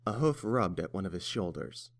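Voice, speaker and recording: A man's voice: low voice, animated, moderate pace, creaky. A man reading scripted story narration as the narrator.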